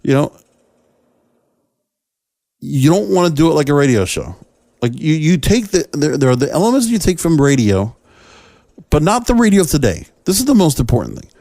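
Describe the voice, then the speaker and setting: A man's voice: a little high, sing-song, normal speed, long pauses, warm tone, no room sound, clean studio sound. A man hosting a solo podcast, giving opinionated commentary in a conversational, emphatic way and addressing listeners directly. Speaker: podcast host.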